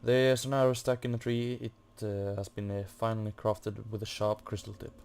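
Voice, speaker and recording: A man hosts a casual game walkthrough in a lowish, monotone voice. He hesitates and mutters to himself as he reads from the screen. A faint fan noise runs behind him. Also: normal speed, creaky. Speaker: gaming video host. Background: computer or room fan.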